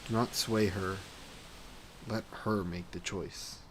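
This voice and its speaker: A man's voice: steady tone, a little hiss or hum, rough recording. A man playing a brooding, remorseful vampire. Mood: conflicted. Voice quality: low, slow and brooding. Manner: regretful, halting and introspective. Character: remorseful ancient male vampire, grieving. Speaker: voice actor. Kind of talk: audio drama monologue.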